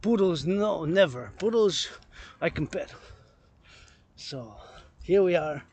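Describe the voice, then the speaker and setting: Higher-voiced man rambling over noise. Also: expressive, slow pace, a little mellow. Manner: repetitive, chant-like rephrasing. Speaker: vlogger. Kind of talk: rambling outdoor walking vlog, casual chat. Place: outdoors in a park, walking among trees. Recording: noisy outdoor recording with clicks.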